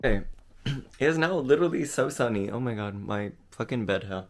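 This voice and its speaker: A young man with a raspy morning voice, chatting casually and rambling in a home vlog. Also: medium pitch, lively intonation, fairly quick, loud music behind the voice. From Australia. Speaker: vlogger. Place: bathroom at home.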